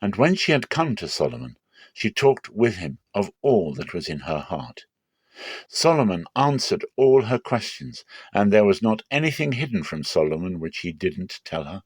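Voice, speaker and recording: Low-voiced man reading scripture, dry sound. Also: sing-song, normal speed, slightly rough, dry recording. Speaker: narrator. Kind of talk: dramatic Bible reading.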